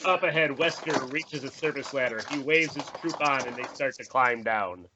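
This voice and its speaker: A man's voice: medium pitch, normal speed, muffled recording, noisy background. A man reading stage directions as narrator in a scripted podcast table read.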